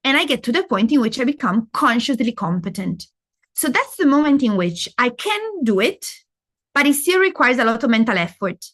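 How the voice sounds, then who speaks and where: A woman's voice: a little high, very animated, moderate pace, fairly bright tone. A middle-aged woman from Italy with an Italian accent, giving a live masterclass and explaining step by step, asking and answering her own questions. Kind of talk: interactive talk on learning.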